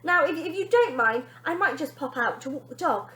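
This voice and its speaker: A woman's voice: high voice, expressive, moderate pace, a bit bright, roomy sound, pitched above the performer's own voice. A woman voicing a timid, cowardly little man in a storybook, spluttering, stammering and tearful. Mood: nervous.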